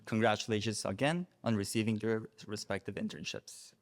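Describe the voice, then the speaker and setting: A young man, born in South Korea, stands up as a student speaker at an awards reception. His prepared speech is earnest and formal. His voice sits a little low. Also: brisk. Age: young adult. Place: reception room at a faculty club.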